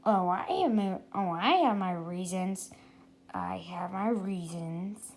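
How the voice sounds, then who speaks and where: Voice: medium pitch, slow pace, a little hiss or hum, voice pushed down. A storybook reader voicing a smug boy inventor who is proud of himself and repeats his phrases. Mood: secretive.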